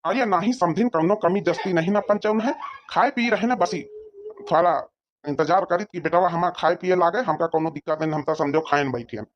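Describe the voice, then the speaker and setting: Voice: mid-range voice, a little fast, a little hiss or hum, close to an everyday voice. An actor voicing an exasperated village father of the groom in a comedy cartoon, scolding and rambling, on lo-fi audio. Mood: reassuring. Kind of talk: amateur comedy cartoon dialogue.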